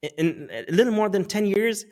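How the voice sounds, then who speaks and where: Guy in his 30s, talks kinda high, rambles and laughs a lot. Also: very animated, normal speed, darkish tone. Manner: rambling, restarts, laughs often. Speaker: guest. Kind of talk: casual interview conversation.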